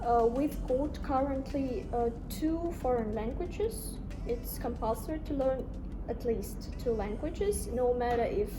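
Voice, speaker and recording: High-voiced young woman, careful and measured. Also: low-quality recording. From Kabardino-Balkar Republic, Russia. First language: Russian. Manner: thoughtful, careful, with many pauses and fillers. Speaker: interview guest. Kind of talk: casual interview answers.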